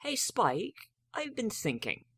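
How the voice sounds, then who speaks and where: A man's voice: high-pitched, huge pitch swings, slow pace, fairly bright tone, husky, no echo, voice pushed up, full character voice. A man voicing an anxious, bookish young female unicorn, earnest and hesitant, in a fantasy story reading. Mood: tentative. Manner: earnest, hesitant, self-doubting.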